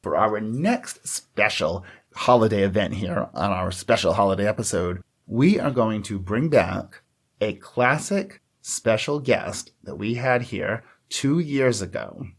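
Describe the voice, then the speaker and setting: A man's voice: lowish voice, animated, a little slow, slightly rough. A man co-hosting a library podcast in casual, joking chat. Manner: chatty, joking, with laughs and restarts. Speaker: podcast co-host.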